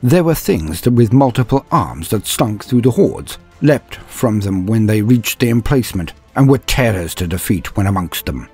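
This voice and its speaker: A man with a low, husky voice delivers dramatic scripted narration over music. His theatrical, grandiose storytelling rises and falls widely in pitch. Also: very animated, normal speed, hardly any pauses, darkish tone. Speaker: narrator and host of a fiction lore show.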